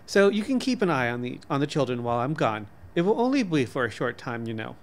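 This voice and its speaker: A man reading the part of a brisk, cheerful, reassuring wife and mother in a story. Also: mid-range voice, big pitch swings. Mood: breezy, reassuring. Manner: breezy, reassuring.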